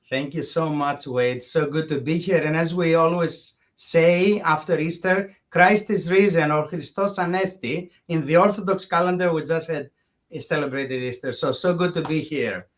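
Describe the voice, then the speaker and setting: A man's voice: a little high, moderate pace. A man from Greece with a Greek accent, speaking as an interview guest in long, earnest, winding sentences that often restart. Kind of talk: conversational interview.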